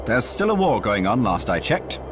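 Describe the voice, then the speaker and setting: A senior colonel in a war game, dry and composed. His lowish voice swings widely in pitch, and loud music runs behind it. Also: very animated, normal speed. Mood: calm, collegial. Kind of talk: video game cutscene acting.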